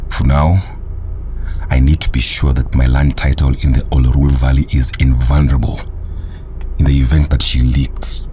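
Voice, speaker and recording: A man's voice: rumbling low, level tone, normal speed, dark tone, a little hiss or hum. A man playing a cold, powerful scheming boss in a motion comic, curt and menacing. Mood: calmly menacing. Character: cold, powerful male villain, scheming land-grabbing boss. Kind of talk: motion comic villain acting.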